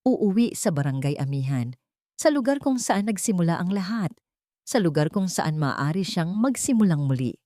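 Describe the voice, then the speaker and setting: Female story narrator, lowish register, solemn measured phrasing, dry studio-like sound. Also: very animated, dark tone, no room sound. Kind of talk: scripted dramatic story narration.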